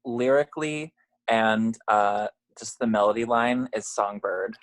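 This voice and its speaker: A man's voice: on the low side, fairly even intonation, a little slow, dry recording. A man taking part in a casual group chat.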